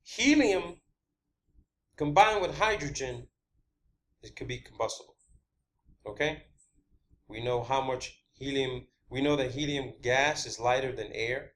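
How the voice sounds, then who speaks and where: Male online host, forceful bright tone, unscripted explainer, emphatic and repetitive for stress. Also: mid-range voice, a little slow, long pauses. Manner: emphatic, repeats phrases for stress. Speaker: video channel host.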